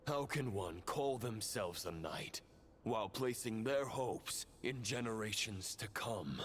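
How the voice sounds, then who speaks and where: An actor playing a grave, coldly convinced fallen knight phantom in a video game, speaking in measured, formal phrases. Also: male voice, lowish voice, animated, fairly bright tone. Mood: solemn, grave. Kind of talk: dramatic video game acting.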